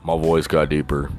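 A man on a casual, rambling rant, swearing constantly and leaning on "you know" fillers. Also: extremely low pitch.

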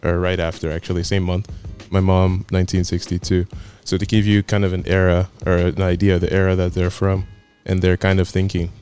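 A man in his twenties, a podcast guest telling his story in a laid-back, chatty way with soft laughs. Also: low voice, completely flat delivery, brisk, few pauses, smooth, soft background music. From United States. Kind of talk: casual chat.

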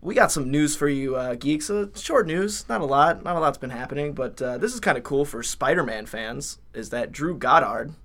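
A man's voice: fairly high pitch, animated, normal speed, hardly any pauses, a bit bright. A young man co-hosting a college podcast in a casual, jokey, rambling way from a podcast room. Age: young adult. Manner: laid-back, jokey, rambling with fillers. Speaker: podcast co-host. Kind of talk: casual chat.

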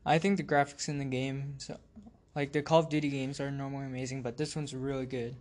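A teenage man co-hosting a casual student podcast, giving his opinions in a steady, even way. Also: mid-range voice, fairly quick. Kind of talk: casual discussion. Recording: noisy amateur recording.

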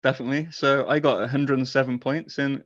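A man guesting on a podcast, talking in a modest, measured way and chuckling often. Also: mid-range voice, steady tone, highs cut off. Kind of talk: casual podcast interview.

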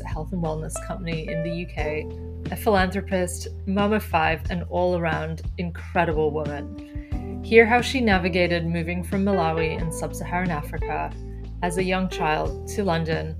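A middle-aged woman, a life coach hosting a podcast, reading a scripted intro and asking questions. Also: fairly low pitch. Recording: music bed under voice.

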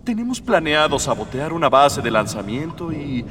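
A man's voice: on the high side, big pitch swings, moderate pace, background noise. A man playing an idealistic young rebel prince, earnest and declamatory, in a radio drama. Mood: earnest, confiding. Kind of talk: Spanish-language radio drama acting. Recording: long reverb, music behind.

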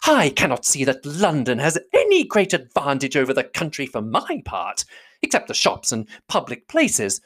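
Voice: mid-range voice, huge pitch swings, normal speed, fairly bright tone, voice pushed up. A reader voicing a fussy, effusive middle-aged mother who is easily offended, with a gushing, emphatic manner. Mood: indignant, triumphant.

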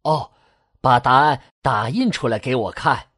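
Husky, expressive, patient father acted by a man. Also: medium pitch, measured pace, dry recording, clean studio sound. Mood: reassuring. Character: patient, tender father explaining things to children. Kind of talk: Chinese science-fiction radio drama acting.

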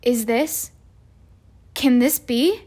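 Woman playing a hushed, awestruck old male bartender. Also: on the high side, drawn-out words. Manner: hushed, reverent.

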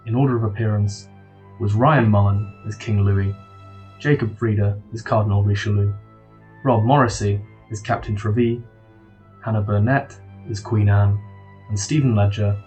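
A man's voice: lowish voice, a little mellow, low-quality recording. A man reading scripted end credits for an audio drama as its announcer.

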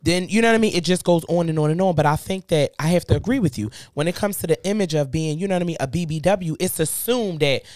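A man's voice: fairly high pitch, darkish tone, dry recording, clean studio sound. A man in a casual podcast chat, emphatic and profane. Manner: emphatic, profane, talks fast.

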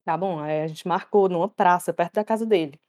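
A young woman telling stories in a casual chat, animated and laughing often. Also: on the low side, brisk. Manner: animated, laughs often, acts out quoted voices. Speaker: storyteller guest.

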